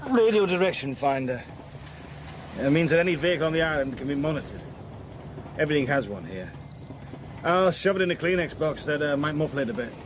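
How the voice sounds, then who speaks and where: An actor plays a weary male spy in a Cold War radio drama. His delivery is dry, guarded and deadpan, with wry understatement. Clear background noise sits behind the voice. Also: fairly high pitch, a little fast, long pauses, lightly acted. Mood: dry, brisk. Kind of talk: radio spy drama acting with first-person narration.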